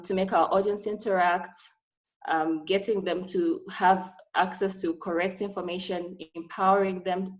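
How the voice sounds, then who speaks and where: Woman presenter with a lowish voice, earnest and advising, on a video call. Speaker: webinar speaker.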